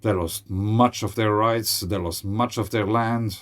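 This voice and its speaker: A man with a Swiss German accent talks to camera in an unhurried, meandering way. His voice sits fairly low, and he drifts slowly from thought to thought, stopping often for fillers and restarts. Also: steady tone. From Switzerland. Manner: rambling, hesitant, with frequent fillers. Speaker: video creator. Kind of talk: casual monologue.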